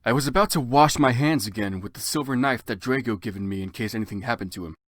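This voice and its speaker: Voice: medium pitch, quick pace. A narrator giving a theatrical, exaggerated comedic reading of fan fiction.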